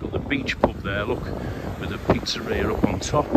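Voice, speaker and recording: A man's voice: on the low side, moderate pace. Chatty man vlogging on windy promenade. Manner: chatty, upbeat, addresses viewers directly. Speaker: travel vlogger. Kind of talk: casual walking vlog narration. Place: outdoors on a seaside promenade. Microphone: handheld phone camera. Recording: loud wind and traffic noise outdoors.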